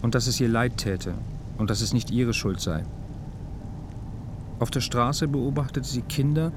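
A man's voice: mid-range voice, a little slow, background noise. A man narrating a literary radio drama from a script in a sober, matter-of-fact way. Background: music.

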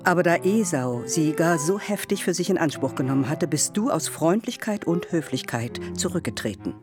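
A woman's voice: sits low, expressive, normal speed, over music. An actress playing a biblical mother, solemnly and intimately telling her son the family story in a radio drama. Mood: tender, solemn. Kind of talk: literary radio drama acting.

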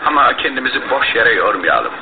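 A shrewd detective, wildly swooping intonation. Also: male voice, medium pitch, sing-song, fairly quick. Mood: courteous, probing. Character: shrewd, self-assured male detective, theatrical and teasing. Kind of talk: Turkish radio theatre acting. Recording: tinny, band-limited old radio broadcast, faint noise.

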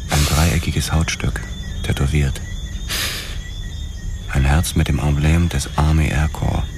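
Hardboiled detective narrator, very deep, sombre, deliberate, radio drama, noisy ambience behind. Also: male voice, flat delivery, full character voice. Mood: grim, haunted. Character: male police detective narrating grim noir discoveries. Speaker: first-person narrator.

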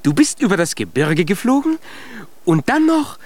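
A man's voice: high-pitched, big pitch swings, normal speed, darkish tone, no echo. An actor in a children's radio play voicing a tiny, plucky boy giant who is eager and boastful, with an earnest, exclaiming delivery. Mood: eager, determined.

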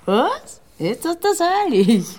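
An actress playing a coaxing young Viennese prostitute in colloquial dialect, in a 1960s radio play. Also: female voice, sits high, sing-song, slow, a little mellow, exaggerated voice. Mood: coaxing, eager. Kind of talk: 1960s German-language radio play acting.

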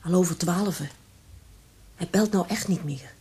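A woman acting a weary, concerned wife in a radio drama, soft and pleading. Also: lowish voice, a little slow, natural voice. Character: weary, concerned wife coaxing her husband to bed.

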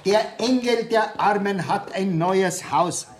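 An actor playing a loyal, placating Bavarian sidekick in a radio play, speaking familiarly in dialect. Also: male voice, sits high, slow pace. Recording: 1970s radio play, music behind.

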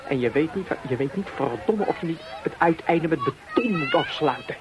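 An actor playing a bitter, obsessive soldier in a poetic radio play, with insistent, repetitive phrasing. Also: male voice, fairly high pitch, lively intonation, normal speed, crowd noise, close to an everyday voice. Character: bitter, obsessive male soldier tending his gun. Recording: noisy radio play recording.

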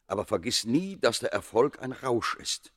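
A man's voice: medium pitch, very animated, normal speed, no room sound, studio quality. An actor playing a stern, devout temple priest and father, solemn and admonishing in a German radio play. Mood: solemn warning.

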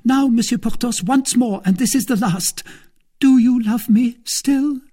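A man's voice: very high voice, measured pace, dark tone, voice pushed up. A man voicing a lovesick, jealous, tight-fisted married woman in a storybook, pleading and indignant in turn. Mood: anguished. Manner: pleading, indignant, emotionally overwrought.

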